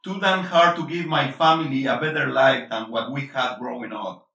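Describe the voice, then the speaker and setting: Echoey, forceful angry husband acted by a man. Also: mid-range voice, moderate pace, creaky. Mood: angry, bitter. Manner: confrontational, emphatic, swearing, switches between English and Spanish. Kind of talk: intense stage-play reading. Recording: echoey room, distant mic.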